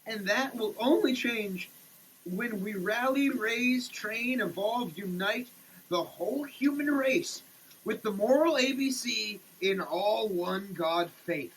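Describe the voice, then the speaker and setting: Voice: mid-range voice, animated, slow pace, a bit bright, slight background noise, low-quality recording. A person giving a theatrical, declamatory dramatic reading of printed text. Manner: theatrical, declamatory, with muttered asides. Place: shower.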